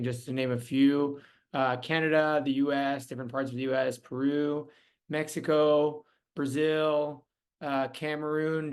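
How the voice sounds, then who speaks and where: A man hosting an online information session for a youth program, speaking in a friendly way with many ums and uhs over a video call. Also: medium pitch. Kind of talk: webinar presentation.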